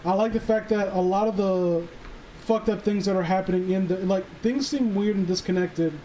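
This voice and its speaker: A man chatting and reading aloud on a casual livestream, halting and sardonic, with some swearing. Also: high-pitched, dark tone, a little hiss or hum, poor audio. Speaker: streamer reading stories aloud. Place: voice call livestream.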